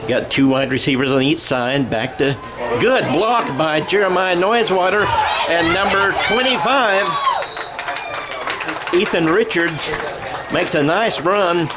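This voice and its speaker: Slow-paced American man calling football. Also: sits high, animated, over a noisy crowd. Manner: halting, self-correcting as he calls plays. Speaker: radio-style play-by-play announcer. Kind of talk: live American football play-by-play commentary. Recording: clear background noise of a ballgame.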